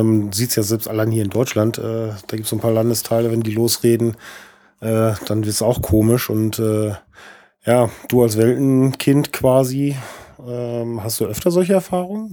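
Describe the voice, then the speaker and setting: Male music interviewer, warm dark tone, hesitant with many fillers. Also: fairly low pitch, steady tone, moderate pace. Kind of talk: casual interview questions.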